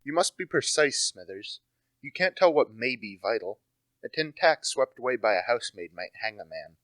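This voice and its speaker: A young man playing a precise, brooding, intellectual gentleman detective in a radio play, deliberate and theatrical in delivery. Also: mid-range voice, sing-song, no room sound. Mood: precise, dismissive. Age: young adult.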